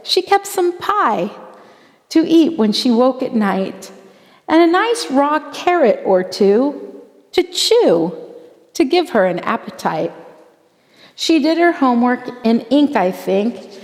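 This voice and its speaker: A woman with a fairly high voice reads a rhyming storybook to children, taking her time over each phrase. Her delivery is theatrical, with deliberate emphasis on key words. A long reverb trails behind her voice. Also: sing-song. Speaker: pastor reading a children's story aloud. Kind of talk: expressive storybook read-aloud in rhyming verse.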